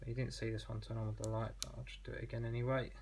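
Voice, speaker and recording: A man's voice: mid-range voice, flat delivery, racing, vocal fry, noisy background. A man giving an informal product demonstration as an online seller, explaining in a conversational, slightly hesitant way.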